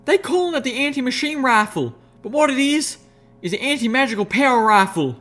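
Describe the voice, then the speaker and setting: Male reader doing a high, loud country mare in a storybook, drawling and raging, voice strained to breaking. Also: lively intonation, fairly quick, faint music behind, exaggerated voice. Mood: furious. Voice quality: shrill, strained shouting. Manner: drawling, indignant, raging outbursts. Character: fiery country mare, middle-aged, proud and furious. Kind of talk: audiobook reading.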